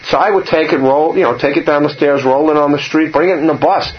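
An older man with a low voice, hosting a casual radio chat and telling stories with lively jumps in pitch. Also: quick pace, highs cut off, a little hiss or hum. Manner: storytelling, animated, jumps up in pitch.

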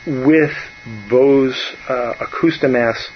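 A man, a bass player chatting casually and rambling on, often trailing off softly. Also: fairly even intonation, slow pace, lo-fi sound, a little hiss or hum. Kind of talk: casual musician chat.

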